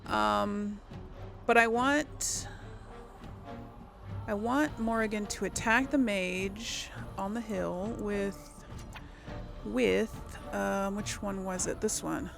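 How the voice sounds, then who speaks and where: A woman's voice: medium pitch, takes it slowly, vocal fry, pauses often, music in the background. A woman giving casual let's play commentary, hesitantly thinking aloud as she plays. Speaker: gaming video host.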